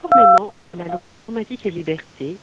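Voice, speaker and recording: A man's voice: high voice, fast talker. A man reading a scripted legal notice as the recorded announcer on a phone line. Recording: dull, hissy phone line.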